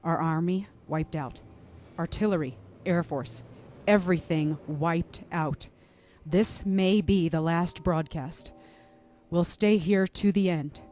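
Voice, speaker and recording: A man's voice: on the high side, takes it slowly, darkish tone, faint music behind. An actor playing a male radio announcer on a city rooftop, giving urgent, clipped bulletins in a 1930s radio drama. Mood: grave.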